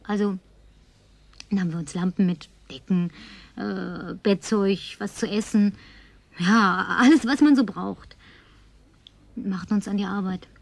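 An actress playing a tipsy, flirtatious, lonely woman survivor in a radio drama, coquettish and slipping into breathy whispers. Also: mid-range voice, unhurried, long pauses, a little mellow, no room sound. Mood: tipsy reminiscing. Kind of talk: German radio drama acting.

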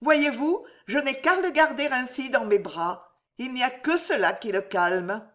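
A reader voicing a devoted young royal mistress in an audiobook, soft and imploring, her voice raised high. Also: animated, unhurried. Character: king's devoted young mistress, anxious and pleading.

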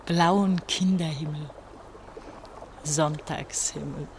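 A woman's voice: deep voice, steady tone, slow, darkish tone, a little hiss or hum. An actress playing a despairing, feverish girl of eighteen in a radio play, brooding and bitter in short broken phrases. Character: despairing feverish eighteen-year-old East German girl, bitter and dreamy. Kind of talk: German radio play acting.

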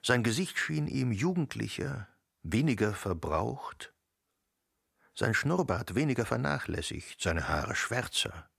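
Male radio drama narrator, creaky, raspy, scripted literary storytelling, measured, close studio mic. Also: medium pitch, very animated, a bit bright, no room sound. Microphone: close studio microphone.

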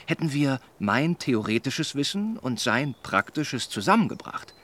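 An actor playing an earnest, well-meaning young gentleman in a German radio drama, speaking in a measured, formal, persuading way. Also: medium pitch, big pitch swings. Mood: earnestly persuasive.

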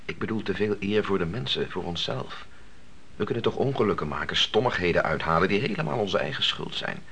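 An earnest grown son in a radio play, with a low-pitched voice. He questions and argues cautiously, often halting before he gets to the point. The old recording carries faint noise. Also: animated, creaky, a bit bright, heavily acted. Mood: reasoning, insistent. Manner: hesitant, halting, argumentative.

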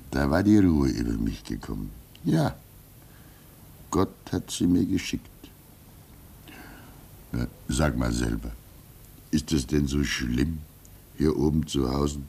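A man in his sixties playing a weary, pious old keeper at a polar station in a radio play, speaking wistfully with soft laughs. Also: a bass voice, animated, slow pace, creaky, long pauses, breathy edge, full character voice. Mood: reflective. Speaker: actor.

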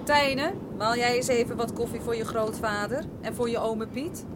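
A woman's voice: on the high side, fairly even intonation, moderate pace, very noisy, lightly acted. An actress in a Dutch radio drama playing a homely, assertive fishing-village mother with a chiding manner. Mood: bustling. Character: brisk, thrifty fishing-village mother and housewife.

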